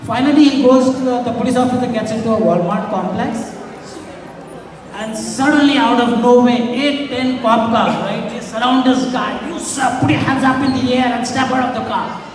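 A man's voice: very high-pitched, normal speed. A young man from Goa with a Goan Indian English accent, doing live stand-up comedy in a chatty storytelling way, often checking in with the crowd. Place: large community hall stage. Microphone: handheld microphone through hall speakers. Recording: echoey hall, taken from far off with crowd. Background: audience laughing and cheering.

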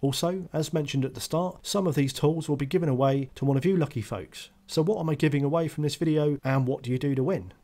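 A man's voice: on the high side, quick pace, hardly any pauses, mellow. A man giving a scripted voice-over tool review, matter-of-fact with dry, understated asides.

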